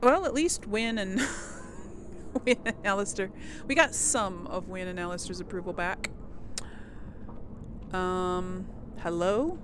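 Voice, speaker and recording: A woman's voice: mid-range voice, takes it slowly, long pauses. Woman casually thinking aloud, faint noise behind. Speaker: let's play host. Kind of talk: casual game commentary.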